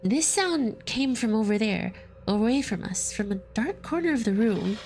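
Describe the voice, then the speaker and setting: Gravelly-voiced woman reading a game story. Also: a little high, expressive, normal speed, a little mellow, a little hiss or hum. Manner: reads narration aloud, breaking off to joke and laugh. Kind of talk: dramatic reading with commentary.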